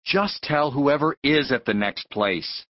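A man's voice: medium pitch, lively intonation, measured pace, dry recording, lightly acted. A short-tempered, tense father, acted by a man in a children's audiobook. He is curt and fierce, with sharply varied intonation. The recording is dry and slightly dull. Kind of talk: full-cast audiobook acting.

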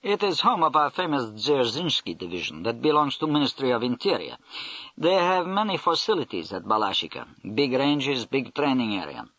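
A man's voice: medium pitch, very animated, moderate pace, a bit bright, husky, no echo, lo-fi sound, heavily acted. A man reading an audiobook, voicing a big, blunt Russian army major with broken English and a Russian accent.

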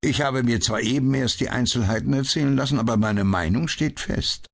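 A man's voice: mid-range voice, fairly quick, vocal fry, voice pushed up. A man reading an audiobook, voicing an indignant, bitter police inspector who barks his words. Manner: blustering and bitter. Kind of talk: audiobook character voice.

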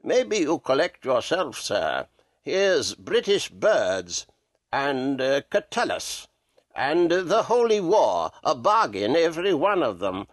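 A man voicing a wizened old book collector with a strange croaking voice, obsequious and hesitant in an old-fashioned polite way, in a storybook reading. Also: on the high side, huge pitch swings, takes it slowly, no room sound, studio quality, pitched below the performer's own voice, heavily acted. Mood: wheedling, apologetic. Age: older.

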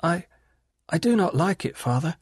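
A man's voice: fairly high pitch, animated, normal speed, husky, dry recording, voice pushed up. A man voicing a timid young woman who speaks in a faltering, fearful way. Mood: frightened. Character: frightened, timid young woman, a boatman's daughter.

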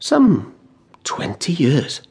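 A man's voice: a little high, wildly swooping pitch, extremely slow, creaky, a little mellow, husky, heavily acted. An actor playing a well-informed, gossiping courtier in a classical Shakespearean radio drama, telling his news with emphatic, rhetorical phrasing. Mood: confiding.

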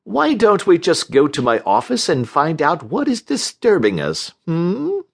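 A man voicing a calm, unctuous division chief doctor in a storybook reading, soothing and patronizing. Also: on the high side, pitched above the performer's own voice, heavily acted. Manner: soothing, oily, patronizing, drawn-out questioning hmms. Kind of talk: audiobook character voice in a comic story.

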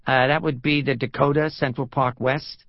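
A man's voice: mid-range voice, level tone, moderate pace, no room sound, highs cut off, pitched above the performer's own voice, lightly acted. A man voicing an apologetic, eccentric tourist with a New York accent and a courteous, sheepish manner in an audiobook. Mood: hesitant. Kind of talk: audiobook character voice.

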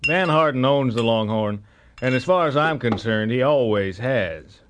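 A man's voice: medium pitch, animated, moderate pace, a little hiss or hum, close to an everyday voice. Surly Old West bartender, acted by a man. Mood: irritated. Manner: gruff, folksy, impatient. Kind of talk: dramatized Western audiobook acting.

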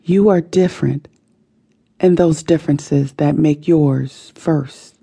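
A woman narrates a guided meditation in a very dark, relaxed voice with a breathy, husky grain. She speaks slowly and deliberately, soothing the listener and letting each phrase trail off softly. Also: low-pitched. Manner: slow, soothing, deliberate delivery with soft phrase endings. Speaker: audiobook narrator.

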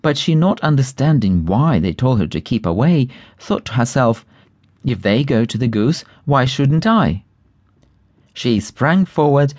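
Expressive male storyteller, dry close sound. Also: mid-range voice, dry recording. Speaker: audiobook narrator. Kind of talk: scripted fairy tale narration.

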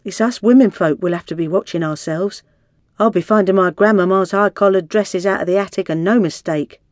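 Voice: mid-range voice, fairly quick, no echo, studio quality, lightly acted. An audiobook narrator voicing blunt, indignant islander women with a scolding, colloquial manner. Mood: scowling, resentful. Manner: scolding, colloquial tag questions.

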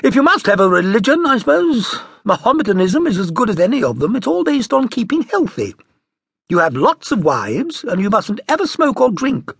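A bold and languorous mother played by a male narrator holds forth to her little boy in an audiobook. The pitch is lifted high and swings widely. She sounds opinionated and sure of herself. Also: very animated, moderate pace, vocal fry, a bit bright, slightly rough, no echo, studio quality, full character voice. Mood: breezily didactic.

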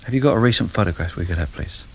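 An actor playing a brash, scruffy, wisecracking detective inspector with an English accent in a radio crime drama, blunt and colloquial. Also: male voice, low-pitched, animated, rapid-fire, gravelly, a little hiss or hum. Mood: businesslike. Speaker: police detective inspector character.

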